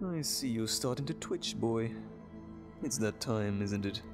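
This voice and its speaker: An actor playing a sadistic, gleeful evil doctor in an audio drama, condescending and mock-tender, with theatrical, drawn-out phrasing. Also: male voice, lowish voice, animated, music in the background. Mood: silky menace.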